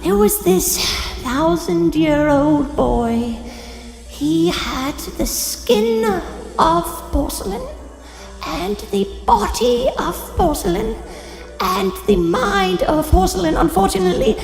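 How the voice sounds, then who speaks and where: Super high swoopy gorgon hostess, coy n gossipy, giggly, echoey hall w music under. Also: female voice, very animated, takes it slowly, creaky, a little mellow, exaggerated voice. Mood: wistful, coy. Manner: theatrical, hesitant, giggly. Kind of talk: improvised tabletop roleplay.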